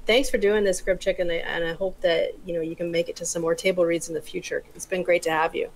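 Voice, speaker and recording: A woman's voice: lowish voice, fairly even intonation, fast, poor audio. A woman talking casually in an online group voice chat. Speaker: table read group member. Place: online voice call. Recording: faint noise, online voice chat.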